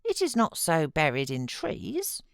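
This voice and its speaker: A woman plays a kindly British housekeeper from an audiobook. The delivery is gentle and reassuring, with coaxing, animated rises and falls, in a very dry recording. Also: sits low, measured pace, creaky, clear, resonant tone, dry recording, full character voice. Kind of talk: audiobook character voice.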